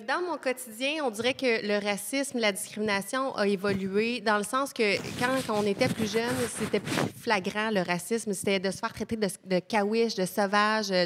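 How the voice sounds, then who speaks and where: A woman's voice: medium pitch, moderate pace, hardly any pauses, a bit bright, in a large hall. A young woman from Nunavik speaking frankly as a panelist, holding a handheld mic at a table in a community centre. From Nunavik, Quebec. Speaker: panelist, nutritionist. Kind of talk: panel discussion. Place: community centre hall, indoors.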